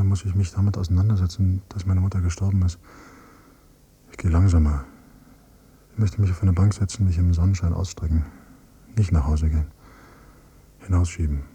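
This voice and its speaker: A man acting as a grieving, quietly bitter adult son in a radio drama, speaking in a sombre, measured way. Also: low-pitched, lightly acted. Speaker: first-person narrator and character. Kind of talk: literary radio drama monologue and dialogue. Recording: noisy hummy cassette copy of radio play.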